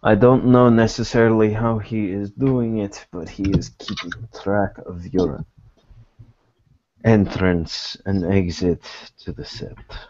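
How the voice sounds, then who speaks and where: A man speaking in character in a tabletop roleplay, with formal, measured wording, on muffled low-fidelity audio. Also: flat delivery, darkish tone. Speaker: game master playing a character in a tabletop roleplay.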